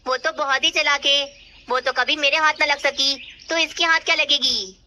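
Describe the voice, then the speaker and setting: A woman's voice: sits high, fairly even intonation, normal speed, sharp tone. A woman voicing a sly, greedy, boastful female kite bird in a children's cartoon. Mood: scheming. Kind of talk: Hindi children's cartoon dialogue. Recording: clearly noisy, birdsong ambience.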